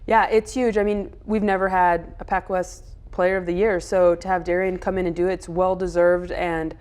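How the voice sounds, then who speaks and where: A woman's voice: lowish voice, little pitch movement, moderate pace, a little mellow, clean tone. A woman soccer coach giving casual answers in an interview.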